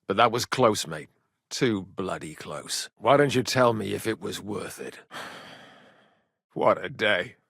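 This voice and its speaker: A man voicing a gruff, sardonic, hard-drinking medieval mercenary in a video game, with a blunt, earthy, foul-mouthed way of talking. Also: fairly low pitch, slow pace, fairly bright tone, no echo, clean studio sound, heavily acted. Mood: weary, subdued. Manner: earthy, blunt, swearing, wry. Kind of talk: video game character dialogue.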